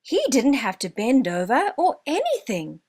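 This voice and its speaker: Female children's story narrator, high voice, very lively intonation, deliberate emphasis.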